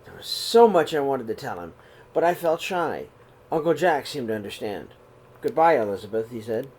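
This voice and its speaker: Gentle man reading a picture book aloud. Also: medium pitch, big pitch swings. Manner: gentle, unhurried storybook reading with throat clears and restarts. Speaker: read-aloud host for young children. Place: living room, seated on a sofa before curtains. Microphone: webcam or laptop camera at close range.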